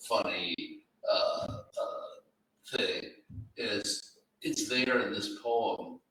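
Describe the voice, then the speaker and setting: In a strongly reverberant room, off the mic, a man talks about literature unscripted. He is slow and halting, choosing each phrase with deliberation in a bright, somewhat low voice. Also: slight background noise. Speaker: literary event speaker. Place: reading room at a live literary event. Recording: very echoey room, distant microphone.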